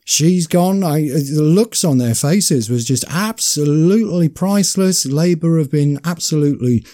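A man's voice: a little high, a little slow, mellow. A man hosting an internet radio talk show, speaking in an emphatic, gleeful way as he runs through a list.

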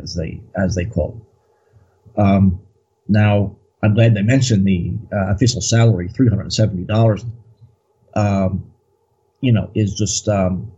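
A man's voice: fairly low pitch, mellow, husky, lo-fi sound. A man as a guest commentator, talking in a rambling, hesitant way with many fillers. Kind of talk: casual political discussion.

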